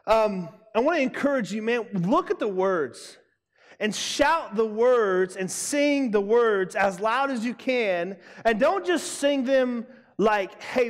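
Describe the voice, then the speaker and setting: A young man preaching a sermon to students, emphatic and loud, repeating his key lines. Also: sits high. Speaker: youth pastor. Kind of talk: youth sermon. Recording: long hall reverb.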